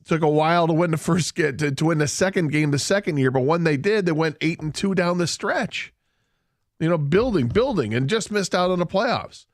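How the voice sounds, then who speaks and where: A man co-hosting a sports radio show, who weighs his points calmly with a few fillers. His voice sits a touch high, and the studio sound is dry and close. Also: no echo. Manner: measured, analytical, with fillers. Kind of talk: casual sports talk.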